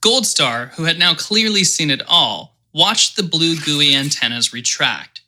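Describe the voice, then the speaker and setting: A man's voice: on the high side, lively intonation, measured pace, bright, forceful tone, a little hiss or hum. A man narrating an audio drama in vivid storytelling style with comic asides.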